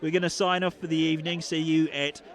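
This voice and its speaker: Excitable New athletics commentator, man. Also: fast, over a noisy crowd. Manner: excitable, rises in pitch and volume during the race. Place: outdoor stadium.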